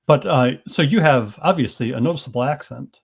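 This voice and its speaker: A man's voice: mid-range voice, fairly quick, gravelly, slightly rough. A man hosting a podcast interview, chatting in a friendly, rambling way with restarts. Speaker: podcast host. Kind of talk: casual interview chat.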